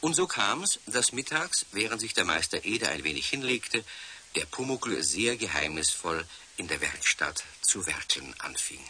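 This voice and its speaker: Casting: male narrator for a children's radio story, low voice, very bright crisp edge, faint tape hiss. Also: sing-song, moderate pace.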